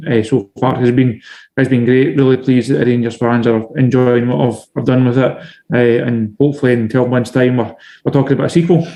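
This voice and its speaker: A Scottish man, a journalist guest, answering in a measured, steady way with frequent restarts. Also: medium pitch. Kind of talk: casual podcast interview.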